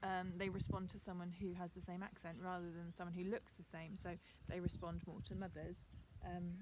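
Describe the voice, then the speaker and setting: A woman teacher with a received pronunciation accent gives a chatty, relaxed video lesson outdoors in her garden, with frequent ums. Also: lowish voice, brisk, background noise.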